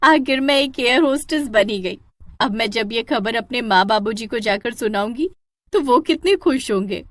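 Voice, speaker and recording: A woman's voice: fairly high pitch, lively intonation, normal speed, a bit bright, no room sound. A woman voicing a dreamy, self-doubting young woman in a cartoon story, with an exclaiming way of talking. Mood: delighted. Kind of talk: animated cartoon story dialogue.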